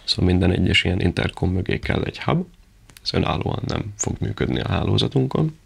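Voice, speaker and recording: A man with a low-pitched voice presents products off the cuff. His delivery is heavy with vocal fry and quite husky, and he explains things in an informal, practical manner. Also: lively intonation.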